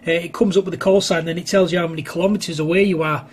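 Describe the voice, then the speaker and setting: High-pitched, husky man. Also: fairly even intonation, fast talker. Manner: chatty, rambling, hesitant delivery. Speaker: amateur radio operator. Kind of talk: casual two-way radio chat. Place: indoors at a home radio station.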